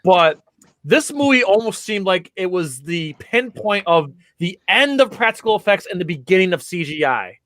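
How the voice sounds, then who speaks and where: A man chatting on a podcast, rambling eagerly through anecdotes with lots of fillers. His voice sits a little high, with a bright, forward ring. The sound is dry and close. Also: very animated, moderate pace, dry recording. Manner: enthusiastic, rambling storyteller with many fillers. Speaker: podcast co-host. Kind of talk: casual podcast chat.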